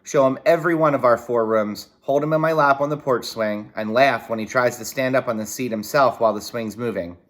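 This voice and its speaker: Guy reading a kids book out loud, folksy and expressive, medium pitched voice. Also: fairly quick, hardly any pauses. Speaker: reader reading a children's novel aloud with side comments. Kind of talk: read-aloud narration with brief explanatory asides.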